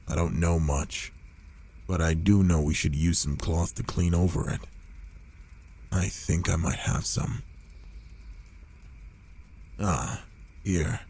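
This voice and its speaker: Man voicing a very deep, slow-talking gruff werewolf. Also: a little mellow, exaggerated voice. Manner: slow, halting, murmured delivery with pauses. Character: gruff, reclusive male werewolf, reluctantly caring and protective. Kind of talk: ASMR boyfriend roleplay.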